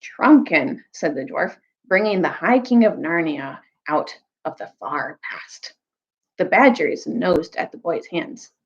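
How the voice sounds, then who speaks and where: A woman's voice: low voice, lively intonation, unhurried, creaky. Woman reading a story aloud, slightly muffled. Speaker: teacher reading aloud to students. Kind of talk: storytime read-aloud with recap.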